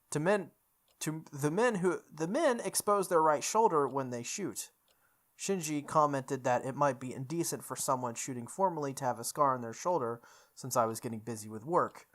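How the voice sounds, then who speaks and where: A woman with a low voice hosts a visual novel playthrough. She reads the narration aloud casually and reacts informally as she goes. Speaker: gaming channel host.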